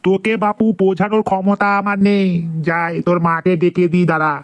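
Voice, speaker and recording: A high-pitched old grandfather from a comedy cartoon acted by a man. He is indulgent, remonstrating and reasoning with the family. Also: steady tone, a little fast, clear, resonant tone, faint music behind, lightly acted. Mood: exasperated. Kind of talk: Bengali comedy cartoon dialogue.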